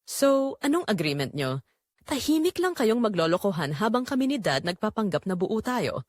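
A woman's voice: medium pitch, sing-song, normal speed, darkish tone, dry recording. A woman playing a hurt, indignant grown son in an audio drama, blunt and accusing as he questions his mother.

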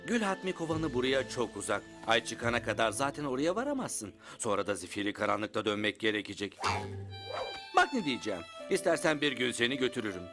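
A man's voice: mid-range voice, sing-song, a little fast, over music, close to an everyday voice. A man voicing a friendly, knowledgeable grown-up insect in a children's cartoon dub, explaining things expressively. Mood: advising.

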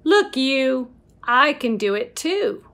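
A middle-aged woman reading a picture book aloud to young children in an expressive, sing-song way. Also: high-pitched, gravelly. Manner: expressive, sing-song, slow, with whispered asides. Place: small room at home.

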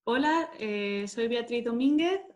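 A woman's voice: fairly high pitch, animated, a little slow, darkish tone, dull audio, faint music behind. A woman in her thirties from Madrid, with a Spain Spanish accent, answering interview questions conversationally on a video call. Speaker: interviewee, market manager and scientist. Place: indoors, plain-walled room, video call. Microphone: earphone headset on video call.

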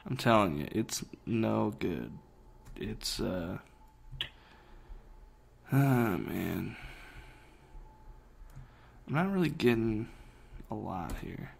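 Gravelly low-voiced man, dry and offhand. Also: big pitch swings, drawn-out words, pauses often. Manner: dry, self-deprecating, mumbles while searching online. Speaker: podcast co-host. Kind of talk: casual chat.

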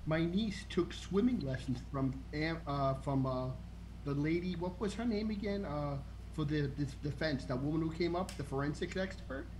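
A man, chatting on a video-call panel and telling stories in a quick, chatty way. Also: a little high, darkish tone, low-quality recording. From Queens, New York. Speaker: panel member. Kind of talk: casual chat. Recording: faint background noise.

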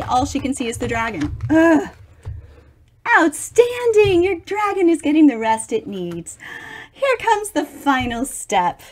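A middle-aged woman reading a picture book aloud expressively, theatrically stretching words and adding chatty asides for young listeners. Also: sits high. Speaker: children's storybook reader.

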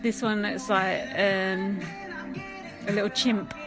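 A woman's voice: medium pitch, fairly even intonation, slow. A woman hosting a solo podcast, giving blunt, sweary, sarcastic commentary over a TV show. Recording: music and TV audio playing behind.

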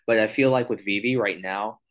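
A man's voice: fairly low pitch, a little fast, fairly bright tone. A man in his thirties co-hosting a casual podcast over a video call, thinking aloud and reaching for analogies. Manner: thoughtful, searching for words, uses analogies. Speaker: podcast co-host.

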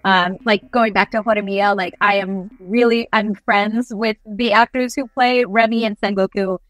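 A woman's voice: mid-range voice, fairly even intonation, moderate pace, fairly bright tone, no echo. A young adult woman chatting enthusiastically and casually as an interview guest, giving advice on a video call. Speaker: guest voice actor. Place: small indoor room, on a video call.

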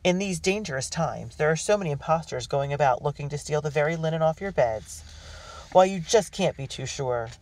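A storyteller voicing a haughty, suspicious society woman with a sharp, exclamatory manner in an audiobook. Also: mid-range voice, expressive, a little fast, close to an everyday voice. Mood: menacing, snide.

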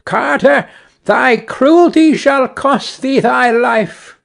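A woman's voice: high voice, vocal fry, voice pushed up, full character voice. A man doing a vengeful little sparrow. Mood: menacing. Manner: slow, menacing drawn-out threats. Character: vengeful female sparrow, small and relentless.

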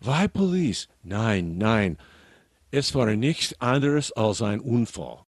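An actor playing an anxious, evasive Viennese porter in a radio drama. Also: male voice, on the low side, animated, takes it slowly, dry recording, studio quality, heavily acted. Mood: anxious, protesting. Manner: nervous, evasive, protesting. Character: anxious Viennese porter, reluctant male witness.

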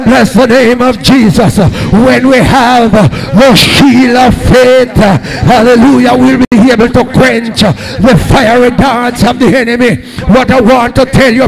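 Jamaican male pastor, high voice, slow fervent delivery, handheld mic in a church hall. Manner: shouting, peppered with hallelujahs, gesturing at a pulpit. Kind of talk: fiery Pentecostal sermon. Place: large church hall with red curtains.

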